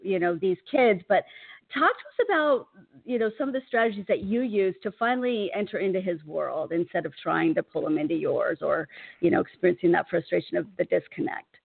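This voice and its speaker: A woman's voice: lowish voice, lively intonation, brisk, creaky, slightly rough. Effusive female radio host, phone-like sound. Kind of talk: casual interview hosting.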